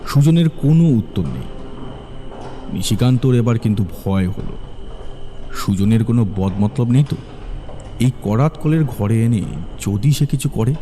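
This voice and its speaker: A man's voice: medium pitch, lively intonation, a little slow, long pauses, dark tone, a little hiss or hum. A man narrating a horror story as a storyteller, in a dramatic, suspenseful way. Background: faint background music.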